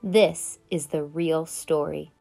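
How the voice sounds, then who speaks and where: A woman voicing a sly, smooth-talking male wolf who tells his side of the story in a chatty, conspiratorial way, read aloud from a children's picture book. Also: low voice, fairly even intonation, slow pace, warm tone, soft background music, voice pushed down, exaggerated voice.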